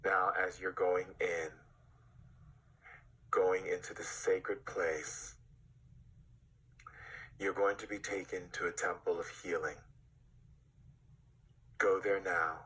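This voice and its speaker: Very slow, low husky man guiding meditation. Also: little pitch movement, pushed and bright, poor audio. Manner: hypnotic, directive, long pauses. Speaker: guided meditation leader. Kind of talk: guided meditation, slow spoken instructions.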